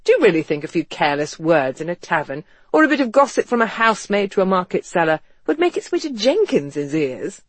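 A man plays a well-bred English gentleman from a period novel, articulate and drily witty. The voice is pitched high and emphatic, in a close, dry audiobook sound. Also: sing-song, a little fast, few pauses, no room sound. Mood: serious, sceptical. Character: wry upper-class Englishman, an impassioned period-novel gentleman. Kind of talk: audiobook character dialogue.

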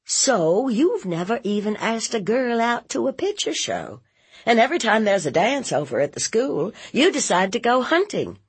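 A woman narrator acts out a fierce storybook aunt, blunt and protective. Her pitch rides high and swings widely as she interrogates and scolds with folksy force, and the voice has some husk in it. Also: big pitch swings, normal speed, slightly rough, heavily acted. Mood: exasperated, concerned.

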